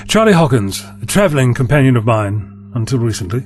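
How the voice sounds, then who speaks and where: Animated male time-travelling adventurer played by an actor. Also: medium pitch, brisk, soft background music, lightly acted. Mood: chatty, reflective. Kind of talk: audio drama acting.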